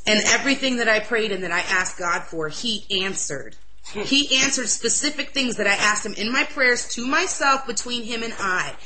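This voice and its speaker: A young woman with a loud voice, sharing an earnest, excitable personal religious testimony in an interview. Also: fairly high pitch, normal speed, background noise.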